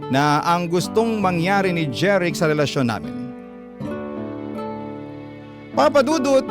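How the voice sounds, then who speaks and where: A man's voice: fairly high pitch, natural voice. A man with a Filipino accent reading a troubled mature woman's love confession as earnest radio-drama narration. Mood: anxious, confiding. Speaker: radio storyteller. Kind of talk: first-person letter narration, classic radio drama. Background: guitar music.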